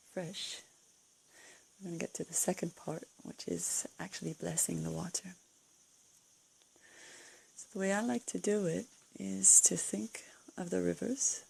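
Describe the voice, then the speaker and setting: A woman leading a guided meditation, giving calm, unscripted instructions in a soothing, breathy way with gentle pauses. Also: lowish voice, slight background noise, low-quality recording. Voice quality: breathy, husky, creaky.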